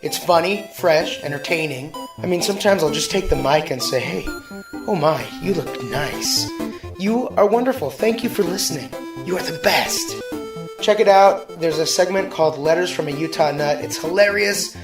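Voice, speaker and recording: A man's voice: a little high, lively intonation, moderate pace. A man hosting a comedy podcast, giving a casual, joking promo. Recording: echoey, with music underneath.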